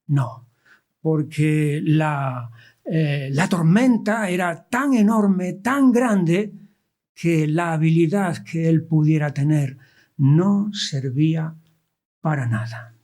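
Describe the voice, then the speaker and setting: A man with a Madrid Spanish accent preaching an expository sermon, deliberate, pausing and posing rhetorical questions as he reads scripture. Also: on the high side, expressive, darkish tone. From Spain, born in Andalusia and raised in Madrid.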